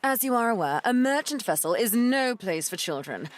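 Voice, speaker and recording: A woman's voice: fairly high pitch, sing-song, moderate pace, no room sound. A woman playing a stern, commanding pirate captain with a formal, firm manner, in an audio adventure drama.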